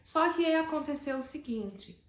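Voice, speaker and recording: Northeastern Brazilian woman host, higher voice, hesitant personal storyteller. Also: lively intonation, normal speed, echoey room. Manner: hesitant, many fillers and restarts.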